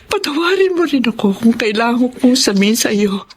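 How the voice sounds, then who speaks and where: An actress playing a middle-aged, guilt-ridden Filipino mother in a radio drama, speaking haltingly and tearfully. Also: on the high side, big pitch swings, slight background noise. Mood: pleading, tearful. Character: remorseful mother confessing to her abandoned daughter.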